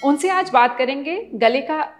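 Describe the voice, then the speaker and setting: A woman with a somewhat high voice hosts a health interview. She introduces her guest and puts questions to him, often summing up his points in her own words as a prompt to go on. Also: a little slow, faint music behind. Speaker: interview host.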